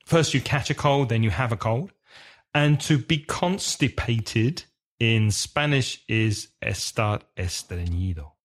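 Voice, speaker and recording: Man teaching English, slow and clear. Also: medium pitch, lively intonation. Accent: British. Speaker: podcast host, English teacher. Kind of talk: English lesson podcast chat.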